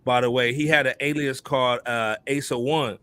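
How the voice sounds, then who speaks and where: A man's voice: medium pitch, fairly even intonation, moderate pace, fairly bright tone, clear, resonant tone. A middle-aged man chatting casually and enthusiastically in slang as a hip hop podcast panelist. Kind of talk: casual chat.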